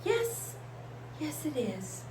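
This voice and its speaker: A woman's voice: high-pitched, sing-song, extremely slow, dark tone, voice pushed up, heavily acted. A woman voicing a self-important, touchy female toy stingray in a storybook, grumbling and singsong by turns. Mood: delighted. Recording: echoey room with faint hiss.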